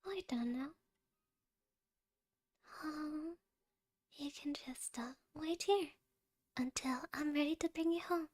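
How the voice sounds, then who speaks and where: A high, girlish yandere acted by a woman speaks slowly and very close in a dry ASMR roleplay. She is shy and hesitant on the surface, with soft breathy whispers. Underneath the sweetness she is possessive and quietly menacing. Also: no room sound. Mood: hushed, scheming. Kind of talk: ASMR audio roleplay, intimate one-sided dialogue.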